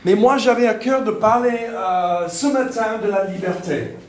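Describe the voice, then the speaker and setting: A man from Texas with a Texan accent, speaking French as a second language, preaching a sermon with jokes and halting fillers. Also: high-pitched, a little hiss or hum. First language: English. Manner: joking, self-deprecating, halting with fillers. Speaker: pastor. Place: church hall. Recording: echoey church hall.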